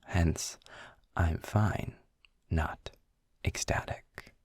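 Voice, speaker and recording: A man playing a sarcastic, guarded demon guide in an intimate audio roleplay, speaking in dry, measured phrases with a breathy, gravelly edge, close on the microphone. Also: fairly even intonation, a little mellow, no echo, exaggerated voice. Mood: uneasy, guarded. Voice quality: husky, breathy, gravelly low voice. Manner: dry, measured, halting with pauses. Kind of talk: intimate scripted audio roleplay, slow and deliberate. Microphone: close studio-style microphone, dry.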